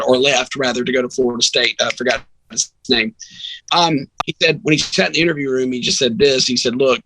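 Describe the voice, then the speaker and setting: A man's voice: mid-range voice, bright, forceful tone, dry recording, poor audio. A man who works as a sports radio announcer, talking casually as a guest in a rambling, anecdotal way. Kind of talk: casual sports chat and storytelling.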